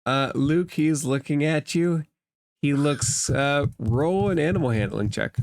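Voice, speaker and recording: A man's voice: on the high side, measured pace, dry recording, clean studio sound. A man acting as game master, narrating a tabletop role-playing game and calling for dice rolls.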